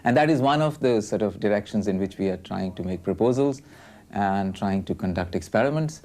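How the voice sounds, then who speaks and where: Measured man, low voice. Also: expressive, mellow. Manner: measured, explanatory, frequent uh fillers. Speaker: language researcher. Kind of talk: documentary interview.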